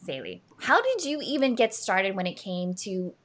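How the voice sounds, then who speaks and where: A woman's voice: mid-range voice, wildly swooping pitch, moderate pace, a bit bright. A woman hosting a live online show, bubbly and exclaiming often, tired from little sleep. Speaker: host. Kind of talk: energetic live show chat. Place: home studio in San Diego.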